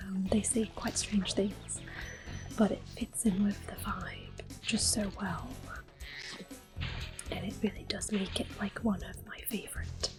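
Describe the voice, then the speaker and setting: Slow, whispering woman doing ASMR commentary. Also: medium pitch, steady tone, over music. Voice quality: hushed, breathy whisper. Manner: gentle, slow, unhurried. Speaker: gameplay video host. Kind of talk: soft-spoken ASMR game commentary.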